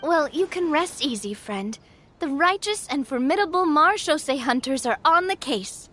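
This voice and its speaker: Very high, spirited little girl. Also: lively intonation, normal speed, a bit bright, slight background noise. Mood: confident, boastful. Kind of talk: game voice acting.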